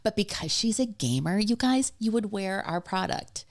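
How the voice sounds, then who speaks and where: A woman's voice: mid-range voice, lively intonation, normal speed. A woman co-hosting a podcast in casual chat, upbeat and hostly. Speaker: podcast co-host.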